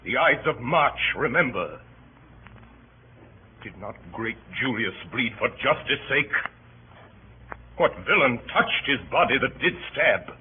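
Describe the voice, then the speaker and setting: A man's voice: mid-range voice, expressive. Stern Roman nobleman, tinny radio. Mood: righteous scorn. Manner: measured, rhetorical, scornful. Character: stern, righteous Roman nobleman, scornful and unbending. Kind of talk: classical Shakespearean radio acting. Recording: tinny, noisy old radio recording.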